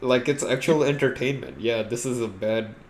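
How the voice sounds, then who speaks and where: A young man with an average-pitched voice talks as a podcast co-host. His delivery is casual and musing, and the audio has noticeable noise behind it. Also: moderate pace. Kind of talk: casual opinionated chat. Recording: clearly noisy amateur podcast audio.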